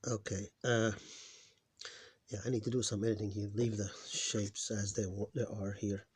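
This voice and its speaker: A man's voice: fairly low pitch, level tone, breathy edge, no echo. A man teaching a phonics lesson, patiently spelling out syllables and correcting himself, his voice tiring. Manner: patient, repeats sounds slowly, self-correcting. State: voice getting tired. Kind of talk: phonics lesson over on-screen writing.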